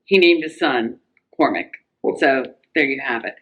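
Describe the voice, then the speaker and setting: A woman's voice: a bass voice, expressive, a little slow. A middle-aged or older woman co-hosting a casual, conversational book recommendation show. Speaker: co-host.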